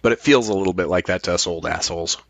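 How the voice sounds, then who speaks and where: A man's voice: deep voice, rapid-fire, vocal fry, background noise. A man in his late forties chatting casually and candidly as a podcast guest, swearing freely. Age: late 40s. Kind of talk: casual chat.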